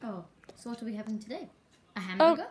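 A woman's voice: a little high, expressive, fast, darkish tone, pitched below the performer's own voice, natural voice. A woman voicing a hungry, food-grabbing shark puppet with short, blunt demands. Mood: greedy, blunt. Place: kitchen table.

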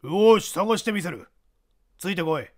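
A man's voice: high-pitched, lively intonation, moderate pace. A man voicing a jealous, threatening big young man in an audiobook drama. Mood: angry, demanding. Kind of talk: audiobook character acting.

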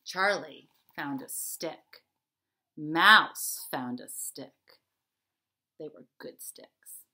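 A woman's voice: low voice, expressive, drawn-out words, sharp tone. A woman in her forties, a children's author, reading expressively and explaining things simply and directly to young listeners at home. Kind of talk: expressive read-aloud and chat for young children. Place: small furnished room at home, indoors.

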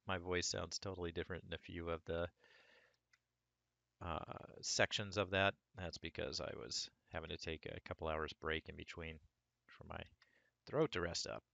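A man with a hoarse voice from a cold, talking through a sculpting tutorial step by step in a relaxed way. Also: low-pitched, normal speed, no echo. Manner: relaxed, talks through each step as he works. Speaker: 3D sculpting instructor. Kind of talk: screen-recorded software tutorial.

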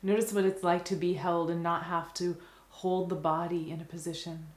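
A woman leading a guided meditation in a gentle, soothing manner, leaving long unhurried pauses between phrases. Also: on the low side, little pitch movement, echoey room.

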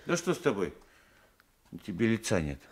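A meek, simple old villager in a radio drama. He speaks haltingly and homely, with a swooping, expressive pitch. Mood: homely, fussing. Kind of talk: Soviet radio play acting. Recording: 1980s radio drama recording.

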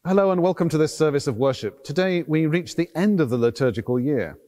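Middle-aged priest in a very reverberant church. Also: male voice, fairly high pitch, lively intonation, fairly quick, warm tone. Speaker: priest, celebrant. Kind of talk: formal liturgical address. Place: large stone cathedral interior.

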